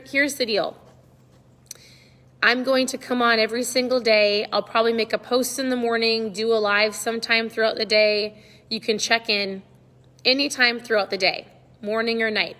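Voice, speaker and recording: Slightly hoarse woman in a reverberant room. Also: on the high side, fairly bright tone. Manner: upbeat, encouraging, conversational. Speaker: online coach hosting a live check-in. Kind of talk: casual livestream chat to viewers.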